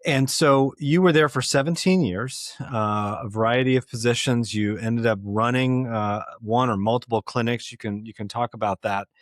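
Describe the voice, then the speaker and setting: A man hosts a podcast interview at a middle pitch, measured and unhurried, with plenty of small fillers. The sound is dry, with no room around him. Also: dry recording. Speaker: podcast host.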